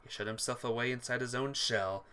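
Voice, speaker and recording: A man reading a visual novel aloud as a playthrough host, narrating in an expressive, theatrical way. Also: medium pitch, a little fast, clear, resonant tone. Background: music.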